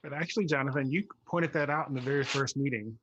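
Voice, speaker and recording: A man talking reflectively as a playwright on a panel, articulate but halting as he works through his thoughts. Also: medium pitch, a bit bright, muffled recording, slight background noise.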